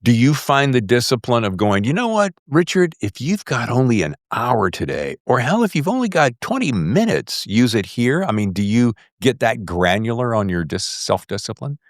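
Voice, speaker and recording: American guy hosting a podcast, chatty and jokey, pitch jumps around, really dry close sound. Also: very animated, normal speed, hardly any pauses, no room sound. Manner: chatty, jokey, acts out quoted remarks. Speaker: podcast host. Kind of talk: casual interview chat.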